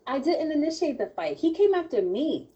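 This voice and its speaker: A woman's voice: high-pitched, sing-song, normal speed, mellow, highs cut off, pitched above the performer's own voice. A woman playing a distressed young male college athlete, pleading and defensive, in an audio drama table read. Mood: pleading, upset. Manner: pleading, incredulous.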